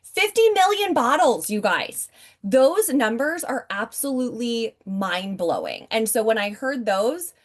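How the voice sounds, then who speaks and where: Woman, 40s, upbeat chatty presenter pitching over a video call from home. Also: sing-song, measured pace. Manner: upbeat, chatty, keeps addressing the audience directly. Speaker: network marketing presenter.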